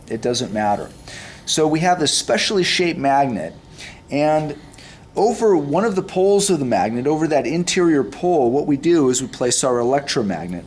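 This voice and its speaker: A man in his forties explains a science demonstration step by step, like a physics teacher, in a deliberate way. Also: a little mellow. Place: classroom science lab, indoors. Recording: roomy, distant mic, background rumble.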